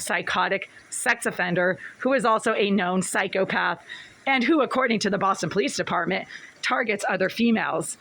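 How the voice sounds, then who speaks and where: A woman with a raspy voice speaking in a rambling, insistent, accusatory monologue to a phone in her apartment bathroom. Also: mid-range voice, sharp tone, poor audio. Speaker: vlogger.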